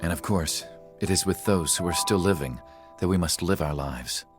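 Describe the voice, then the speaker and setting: A man's voice: sits low, moderate pace, over music, natural voice. A man playing a stern, solemn young rebel leader in a video game, serious and terse.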